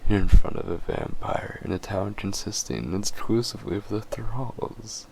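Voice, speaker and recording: A man's voice: on the low side, expressive, creaky, mellow, slight background noise. A man voicing a possessive, condescending vampire in a dramatic audio roleplay, with a smug, teasing manner. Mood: smug, taunting. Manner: slow, teasing, smug, often chuckling. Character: possessive, condescending male vampire, obsessed and menacing.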